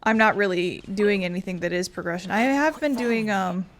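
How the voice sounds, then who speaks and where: A woman's voice: mid-range voice, moderate pace. Rambling woman chatting while gaming. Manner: rambling, filler-heavy talk. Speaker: gaming video host. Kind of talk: casual chat while playing.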